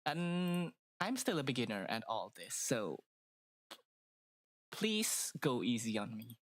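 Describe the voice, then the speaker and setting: A woman's voice: extremely low pitch, very animated, slow pace, no room sound. A woman streamer with a stuffy nose chatting casually and rambling, with lots of fillers and hesitations. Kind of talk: casual live-stream chat.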